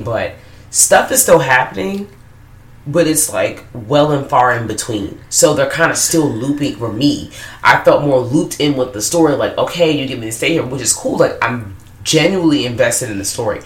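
A woman's voice: very deep voice, moderate pace. Tired, profane woman in a roomy echo. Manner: blunt, profane, animated. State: low tired after-work voice. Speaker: podcast co-host. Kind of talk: casual movie review chat.

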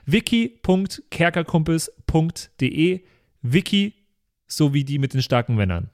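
A man's voice: fairly high pitch, expressive, a little slow. A man chatting and improvising casually as a player on a tabletop role-playing show. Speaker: player in a pen-and-paper show.

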